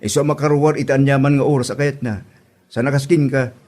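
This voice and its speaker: A man's voice: mid-range voice, flat delivery, fast talker, darkish tone, natural voice. A man playing an exasperated village husband scolding his wife in a comedy drama, with an Ilocano accent.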